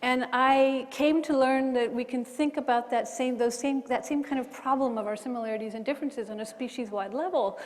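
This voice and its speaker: A middle-aged woman with a slightly high voice and an accent that is part American, part British. She tells her story in a candid, self-deprecating way and often restarts her sentences. Her voice carries a long reverb from a large lecture hall. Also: fairly even intonation, fairly quick, few pauses. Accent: mixed American and British. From Hawaii. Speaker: bioethicist panelist. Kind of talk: personal story on a forum panel.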